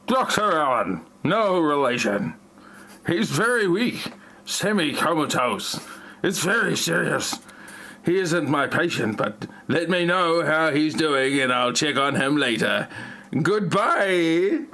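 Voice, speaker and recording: Man playing a bright, forceful solemn doctor. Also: on the high side, big pitch swings, slow pace, heavily acted. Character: brisk, solemn male doctor, a colleague.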